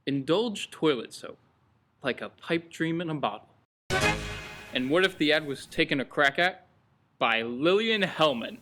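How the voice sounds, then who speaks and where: A man's voice: fairly high pitch, animated, a little slow, long pauses. A man narrating a scripted radio programme as its announcer. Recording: music behind the voice. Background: music.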